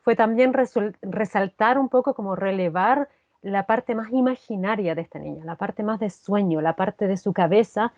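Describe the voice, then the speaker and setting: A woman's voice: medium pitch, normal speed, a little mellow, dry recording. Casting: woman, interviewed actress, reflective and conversational, searching for phrases; muffled lo-fi audio with little room sound. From Chile.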